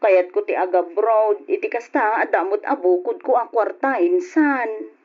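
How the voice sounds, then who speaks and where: A woman's voice: medium pitch, a little slow, natural voice. A woman playing a pleading, determined young Filipino wife. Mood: pleading, insistent. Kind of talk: old-fashioned Ilocano radio drama acting. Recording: dull lo-fi old radio drama.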